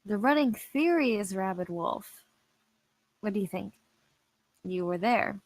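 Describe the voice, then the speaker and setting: A woman's voice: medium pitch, animated, a little slow, no room sound. A woman acting a composed, skeptical police detective in a film script read, dry and matter-of-fact. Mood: composed, probing.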